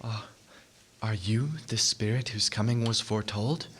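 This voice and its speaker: An actor in a radio drama playing a crotchety, frightened old miser with a theatrical, exclamatory delivery. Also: male voice, on the low side, fairly even intonation, a little slow, slight background noise. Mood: nervous. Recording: loud music behind the voice.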